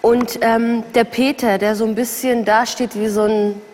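A woman's voice: on the high side, flat delivery, measured pace, a little mellow. A woman on a TV jury giving frank opinions, hesitant with fillers. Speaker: jury member on a TV show. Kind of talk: casual panel talk. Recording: TV clip with long reverb and faint noise.

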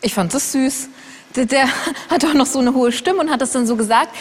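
A woman on a TV jury chatting about the candidates in a lively way. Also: sits high, brisk. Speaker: jury member on a TV show. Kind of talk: casual panel talk. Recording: TV clip with long reverb.

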